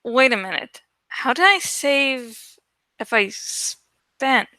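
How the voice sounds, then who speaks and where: A woman reading the part of a reluctant, exasperated teenage boy in a storybook, halting and plaintive. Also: high voice, lively intonation, bright, forceful tone, no room sound, voice pushed up, full character voice. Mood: meek.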